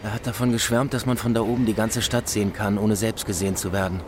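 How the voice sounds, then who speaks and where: An actor playing a stubborn, defiant police detective in a radio drama, terse and determined. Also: male voice, on the low side, level tone, fast talker, noisy background, lightly acted.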